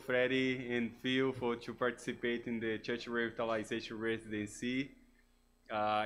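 A man from Brazil with a Brazilian Portuguese accent, a guest pastor preaching in halting English, searching for words. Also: medium pitch, a bit bright. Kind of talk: sermon.

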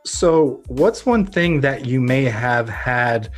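A man's voice: medium pitch, expressive, takes it slowly, soft background music. A man with an American accent talking earnestly and reflectively as the host of a conversational podcast interview.

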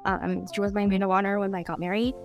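A woman's voice: medium pitch, flat delivery, quick pace, soft background music. A woman from Canada, chatting casually as a podcast guest in a rambling way, full of "like". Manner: rambling, chatty, heavy use of "like". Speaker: guest author.

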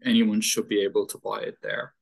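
A man's voice: fairly low pitch, flat delivery, a little fast, gravelly. A man in his twenties with a Dublin Irish accent, a guest author telling his story in an earnest, thoughtful way. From Ireland. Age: 20s. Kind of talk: casual interview chat.

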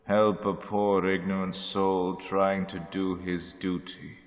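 A man playing a doomed, deep-voiced ship captain. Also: level tone. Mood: solemn. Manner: slow, solemn log reading. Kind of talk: radio drama acting. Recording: long hall reverb.

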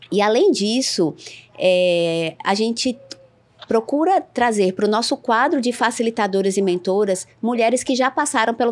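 A woman hosting a panel conversation, explaining a program and asking her guests engaged follow-up questions. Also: mid-range voice. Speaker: host.

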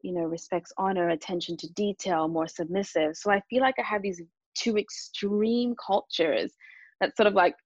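A woman from Japan and Australia, a native Japanese speaker, talking earnestly and reflectively as an interview guest, in a calm, hesitant way. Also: medium pitch, moderate pace, dry recording, dull audio.

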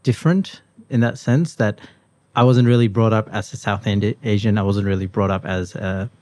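A man's voice: on the low side, moderate pace, dry recording. A man in his thirties from Malaysia, casually recounting his past in a conversation, with many fillers. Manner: relaxed storytelling with many fillers. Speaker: guest.

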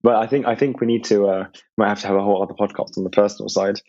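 Husky upbeat male co-host, muffled sound. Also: fairly low pitch, fairly even intonation, very fast, warm tone. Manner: upbeat, chatty. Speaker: podcast co-host. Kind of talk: podcast interview. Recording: dull, muffled.

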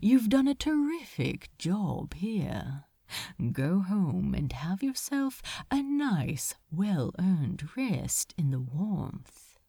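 A woman voicing a falsely kind, menacing senior male demon in a story, soft and patronizing with a quiet threat. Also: medium pitch, very animated, drawn-out words, husky, no echo, clean studio sound, heavily acted. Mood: patronizing menace.

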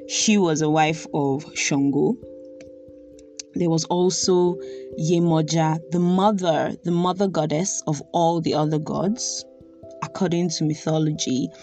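A young Nigerian woman with a Nigerian accent, thoughtfully telling a personal story and pausing to search for words. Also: sits low, darkish tone.

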